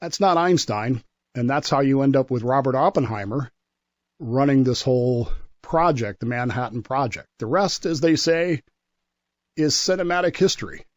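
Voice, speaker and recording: A man with a medium-pitched voice tells history in a conversational way. He is enthusiastic and emphatic, sometimes doubling back on his words. The sound is close and dry, with little room to it. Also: lively intonation, moderate pace, dry recording. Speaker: history segment guest.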